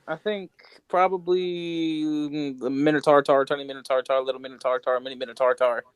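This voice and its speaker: A man's voice: mid-range voice, fairly even intonation, fairly quick, smooth. A man co-hosting a casual podcast, bantering in a teasing, sarcastic way. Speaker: podcast co-host.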